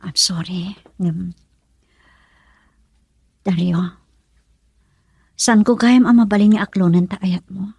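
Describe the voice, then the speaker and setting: A gravely ill young woman in love. Her voice is husky and breathy, recorded close and dry. She speaks tenderly and haltingly, resigned and sorrowful, often sinking toward a whisper in romantic radio drama style. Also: medium pitch, dry recording, exaggerated voice. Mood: sad, confessing. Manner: soft, halting, emotional delivery, often near whisper.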